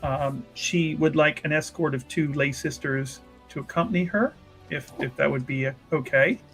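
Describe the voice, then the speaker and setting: Man, fairly high voice, tabletop player, casual improvised narration, wry, conversational, faint music underneath. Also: moderate pace, fairly bright tone, rough recording.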